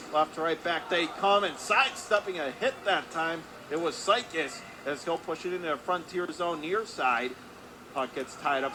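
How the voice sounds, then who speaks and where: A man doing live radio play-by-play of ice hockey in clipped phrases with excitable calls. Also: a little high. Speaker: play-by-play announcer. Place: indoor ice hockey arena. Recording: loud ambient rink noise.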